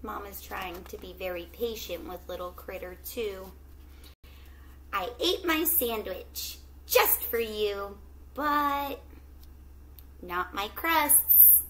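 A middle-aged woman reads a children's book like a teacher in front of a class. She takes her time, stretching words expressively and putting questions to the kids. Her pitch is average, and there is light background noise from a home webcam recording. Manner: expressive, drawn-out storytelling with gentle questions to children. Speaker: teacher reading aloud to children. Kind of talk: children's picture book read-aloud with asides to young listeners. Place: small indoor room at home with plants.

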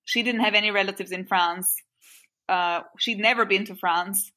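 Woman, 40s, from Albania, bright tone, reflective, interview guest, small restarts. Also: mid-range voice, fairly even intonation, moderate pace. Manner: thoughtful, recalls memories with small restarts.

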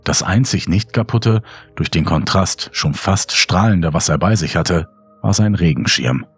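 Very deep, husky male horror narrator. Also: unhurried. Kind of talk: scripted dramatic narration, creepypasta reading. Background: music under the voice.

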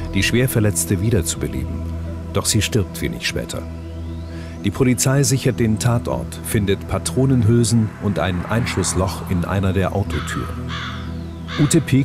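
Male crime narrator, lowish pitch, scripted documentary read over prominent music. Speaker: podcast announcer and narrator.

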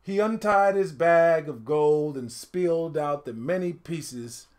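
A middle-aged man reading a picture book aloud in an animated, dramatic storytelling style, pausing to speak to listeners. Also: a little high. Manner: expressive, dramatic swells and hushed asides to listeners. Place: indoor home studio room.

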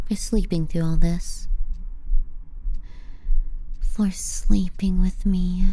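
A woman playing a possessive, menacing younger sister in an intimate ASMR roleplay, soft and breathy, often near a whisper. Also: medium pitch. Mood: tender, teasing. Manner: slow, tender yet threatening. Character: possessive, menacing little sister murmuring to sleeping brother.

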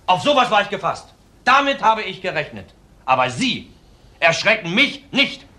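An actor playing a cold-blooded ex-convict widower in a crime drama, defiant, blunt and self-assured. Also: male voice, high-pitched, very animated, moderate pace, sharp tone, heavily acted. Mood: coolly taunting. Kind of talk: German TV crime drama acting. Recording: faint background noise.

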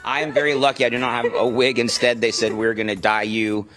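A man's voice: medium pitch, flat delivery, fairly quick, a bit bright, faint music behind. A man in his thirties, a stage actor explaining things as a guest in a live TV interview inside a theater, on a handheld mic. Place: indoor theater stage and backstage. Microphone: reporter's handheld microphone held toward him.

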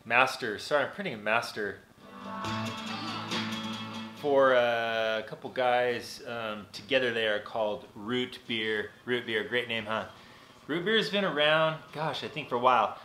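A man's voice: mid-range voice, measured pace, roomy sound, faint music behind. A man in his forties with a nasal voice, chatting casually and rambling through a live stream from his home recording studio. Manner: rambling, relaxed, many fillers and restarts. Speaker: vlogger and mixing engineer. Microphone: camera on desk.

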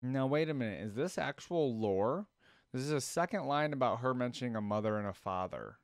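Clear-voiced young man, casual reactions. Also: medium pitch, expressive, brisk, dry recording, studio quality. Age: young adult. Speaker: reaction video host. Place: indoors at a desk in a gaming chair.

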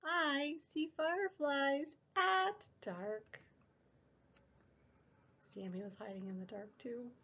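A young woman hosting a preschool story time, reading a picture book in a sing-song, exaggerated way with drawn-out words. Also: high voice, fairly even intonation, a bit bright, muffled recording, a little hiss or hum. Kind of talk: picture book read-aloud for small children.

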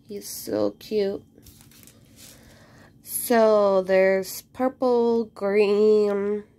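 A woman chatting casually as she unboxes craft kits at home, a little congested from a cold, rambling with plenty of ums. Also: medium pitch. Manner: relaxed, rambling with many ums. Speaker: crafting vlogger. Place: indoor craft room at home.

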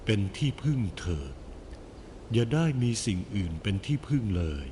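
A man playing the Buddha in an animated film, a calm, wise teacher speaking in a solemn, measured way with gentle instruction. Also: mid-range voice, a little hiss or hum, close to an everyday voice. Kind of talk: scripted animated film dialogue.

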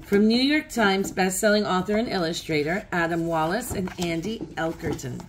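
A woman's voice: on the low side, normal speed, a little hiss or hum, poor audio. A woman narrating a children's picture book aloud as a storybook reader.

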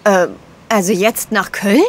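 An actress playing an anxious but cheeky female journalist in a crime audio drama, expressive and agitated. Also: medium pitch, measured pace, slight background noise, close to an everyday voice. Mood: wary. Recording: reverberant room sound.

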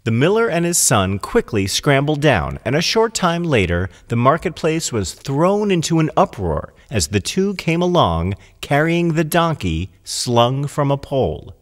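A man narrating a scripted storybook tale in an expressive, deliberate storytelling manner. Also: a little high. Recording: long hall-like reverb.

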